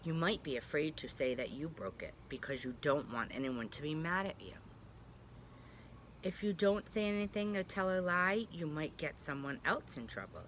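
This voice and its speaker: A woman preschool teacher reading a storybook aloud to young children, gently and reassuringly, with chatty asides. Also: low-pitched, lively intonation, normal speed, slight background noise. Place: at home.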